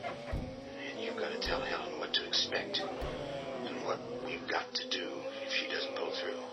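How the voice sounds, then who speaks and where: A man's voice: very high voice, huge pitch swings, measured pace, whispered, full character voice. A man playing a decisive male survivor leader who gives curt orders to the others. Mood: urgent. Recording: distant from mic, loud music.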